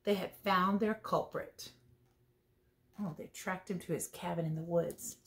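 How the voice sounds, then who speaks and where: A woman reading a picture book aloud as a teacher would, expressive and playful, with chatty asides about the pictures. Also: fairly low pitch, unhurried, creaky. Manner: playful, chatty, commenting on the pictures as she goes. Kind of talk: storybook read-aloud with casual asides.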